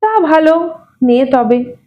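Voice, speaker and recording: Woman playing a high-voiced, innocent-sounding grandmother. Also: lively intonation, takes it slowly, dull audio. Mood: yielding. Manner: coaxing, feigned naive. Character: mild grandmotherly employer feigning innocence to young maid.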